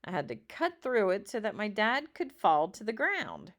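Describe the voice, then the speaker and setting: A woman reading a children's story aloud expressively, with dramatic drawn-out emphasis. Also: medium pitch, sing-song. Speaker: storybook reader.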